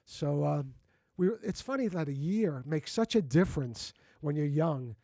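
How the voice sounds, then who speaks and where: Guy in his 60s hosting, mellow kinda high voice, gushes and restarts a lot, dry sound. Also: lively intonation, normal speed, no echo. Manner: effusive and reminiscing, with frequent restarts. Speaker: host. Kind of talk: casual interview conversation.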